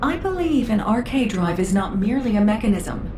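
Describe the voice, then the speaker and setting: An actress playing a shrewd female researcher in a game, speaking in a measured, probing way. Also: on the high side, echoey room, background noise. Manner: measured and probing, with lively inflection. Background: game music.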